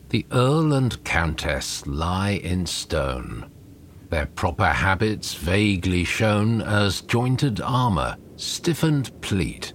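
A man reading poetry aloud in a measured, dramatic recitation, deliberate and with lingering pauses between phrases. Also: deep voice, a little hiss or hum. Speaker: poetry reader.

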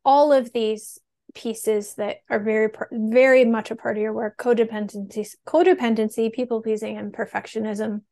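A woman hosting a podcast interview, asking questions in a reflective, measured way. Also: a little high, fairly even intonation, warm tone. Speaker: host.

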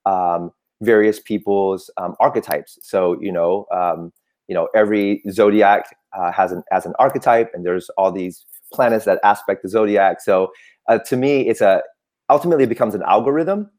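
A man whose first language is Cantonese, a guest on a talk show, speaking reflectively with frequent pauses and restarts. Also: on the low side, a little mellow. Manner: reflective, hesitant, with many "um" and restarts. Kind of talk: casual interview chat.

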